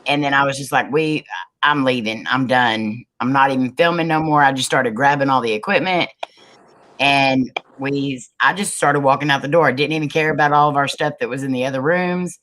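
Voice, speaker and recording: A woman with a low voice, a paranormal investigator, telling a story on a group video call with many ums and restarts. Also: brisk, fairly bright tone. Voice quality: low, manly-sounding voice. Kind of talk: casual group video chat.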